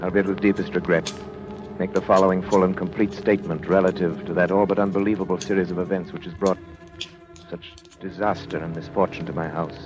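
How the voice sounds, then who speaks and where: An actor playing a troubled, formal middle-aged doctor in 1940s radio drama, speaking with deliberate emphasis. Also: male voice, sits low, natural voice. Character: troubled middle-aged husband, a doctor and psychiatrist, gravely insistent. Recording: old radio broadcast with loud music behind.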